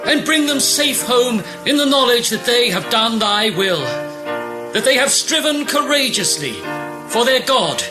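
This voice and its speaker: A solemn, patriotic clergyman in a radio drama. His high voice declaims a prayer in a fervent sermon style, with music clearly heard behind him. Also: animated, measured pace. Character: solemn, patriotic clergyman blessing departing soldiers.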